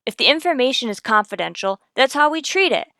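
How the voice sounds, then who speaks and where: A woman's voice: sits high, sing-song, fairly quick, sharp tone, dry recording, clean studio sound, exaggerated voice. Woman playing a hard-boiled, no-nonsense police detective. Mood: stern. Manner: terse, hard-boiled, pressing. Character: tough, no-nonsense female police detective, firm. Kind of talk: old-time radio detective drama acting.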